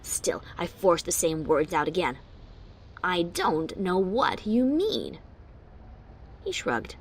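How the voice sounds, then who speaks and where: A woman's voice: on the low side, big pitch swings, takes it slowly, slight background noise, exaggerated voice. A woman playing a wry but secretly anxious adventurer pony who narrates her own story expressively, with bravado over nerves. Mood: shaken. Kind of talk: first-person dramatic story narration.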